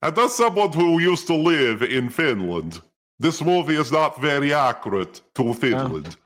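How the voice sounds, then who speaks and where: A man's voice: a little high, very animated, a little slow, fairly bright tone, heavily acted. A man putting on a goofy mock-character with a hammy, sing-song delivery while joking through a movie. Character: goofy mock-Italian man, cheerful and silly.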